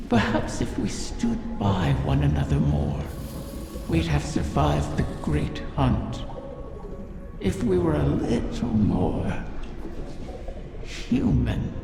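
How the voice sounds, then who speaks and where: Ancient cunning dragon: slow, gravelly, swooping delivery, brooding and insinuating, game fantasy. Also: male voice, on the high side, exaggerated voice. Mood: wistful. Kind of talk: scripted video game dialogue. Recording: long echoing reverb under loud music.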